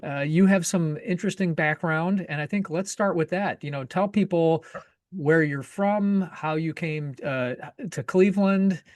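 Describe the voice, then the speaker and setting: A fairly high-voiced man hosts the show in an upbeat, promotional tone. His voice comes through dry and close, with no room sound. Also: unhurried, no room sound. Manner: energetic, promotional. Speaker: podcast host, realtor. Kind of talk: upbeat show intro and interview.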